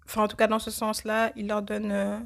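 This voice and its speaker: Soft-spoken woman with a cold, hesitant. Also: medium pitch, completely flat delivery, creaky. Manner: hesitant, many filler pauses. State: has a cold. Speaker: podcast host. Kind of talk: reflective religious podcast talk.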